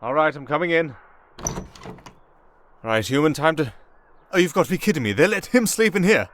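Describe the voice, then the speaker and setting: A man's voice: on the high side, lively intonation, normal speed, a bit bright, a little hiss or hum. A man playing a grumpy, put-upon, work-shy servant of a vampire lord in an ASMR roleplay, casual and exasperated.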